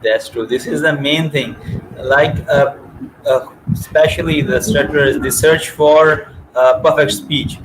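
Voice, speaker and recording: A man's voice: fairly high pitch, fairly even intonation, a little slow. Hesitant man stuttering on a video call. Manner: hesitant, many fillers, stutters. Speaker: host. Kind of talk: conversational interview over video call. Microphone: video call with earphones. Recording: noisy video call audio.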